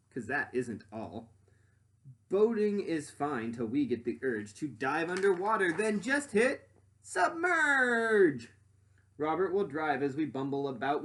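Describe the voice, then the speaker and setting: Storybook boy inventor, played by a man: boastful, eager showman, lively swooping delivery, slightly raised pitch. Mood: thrilled. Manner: excited, showman-like presenting with swooping emphasis.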